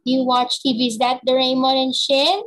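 A young woman from the Philippines tutoring a child in English on a video call through a headset, patient and slow, often repeating the child's answers. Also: high voice, little pitch movement, a bit bright. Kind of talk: online lesson with a child.

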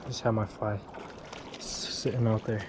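A young man casually talking to viewers while fishing at a mountain lake, speaking quietly and admiring the fish, recorded on a body-mounted action camera. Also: lowish voice, flat delivery, normal speed. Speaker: fishing vlogger. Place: outdoors by a mountain lake and stream. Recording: outdoor action camera with water noise. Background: water and wind.

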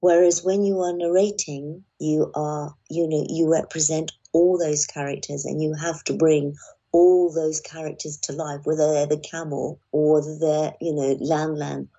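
Woman, deep for her sex, mellow, husky, actor-narrator on a podcast, slightly muffled recording. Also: little pitch movement, moderate pace. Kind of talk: podcast conversation with read-aloud passages.

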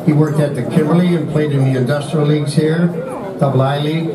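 A man's voice: on the high side, steady tone, fast talker. An older man emceeing a banquet at a podium, chatting casually with folksy asides to the crowd, recorded from far off in a large hall. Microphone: podium microphone, filmed from far.

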